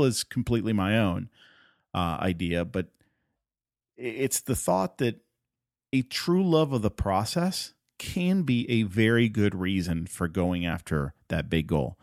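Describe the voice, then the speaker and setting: Man, native Spanish speaker, low voice, dry close sound, earnest motivational podcast host. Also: dry recording. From Puerto Rico. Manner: earnest, emphatic, repeats points for stress. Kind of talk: motivational solo podcast talk.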